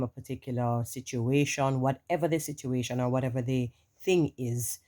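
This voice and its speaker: A woman's voice: rumbling low, warm tone. A woman giving an earnest, preachy motivational monologue, lecturing and repeating points for emphasis. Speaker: social media motivational speaker.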